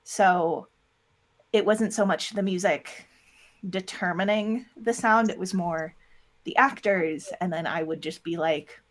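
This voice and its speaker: An audio drama producer speaking casually as an interview guest, animated and often acting out little quoted lines. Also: mid-range voice, a little slow.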